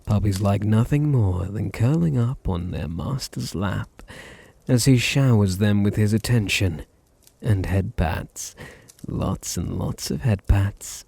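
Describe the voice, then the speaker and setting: A dominant aristocratic vampire played by a man speaks in a low British voice, unhurried and husky. He purrs with possessive condescension, teasing his listener softly in an intimate ASMR roleplay. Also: no room sound, full character voice. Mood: teasing, tender. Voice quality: deep, husky, gravelly.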